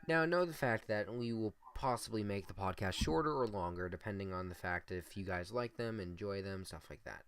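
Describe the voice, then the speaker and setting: A young man with a deep, monotone voice co-hosting a casual improvised podcast and rambling through his points on a desktop USB mic. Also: fairly quick, few pauses, clear, resonant tone. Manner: rambling, filler-heavy. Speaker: podcast co-host.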